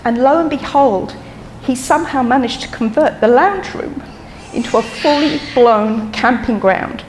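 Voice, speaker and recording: A woman with a mixed London, Irish and Australian accent giving a heartfelt eulogy in measured, pausing speech. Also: high voice, expressive, darkish tone, in a large hall, a little hiss or hum, poor audio. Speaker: eulogist, the deceased's daughter. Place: memorial service hall.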